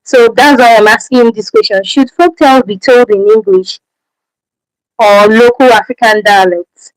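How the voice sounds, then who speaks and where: A woman's voice: fairly high pitch, moderate pace, no echo, rough recording. A Nigerian woman whose first language is Efik, hosting a livestream Q&A and giving advice. She repeats her key points and keeps checking in with rhetorical questions. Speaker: channel host.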